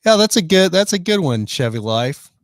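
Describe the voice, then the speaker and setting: A man's voice: high-pitched, very animated, moderate pace, no echo. Chatty man in his fifties, dry sound. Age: 50s. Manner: chatty, reads out viewer comments. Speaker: live stream host. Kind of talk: casual live-stream chat. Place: van in the rain.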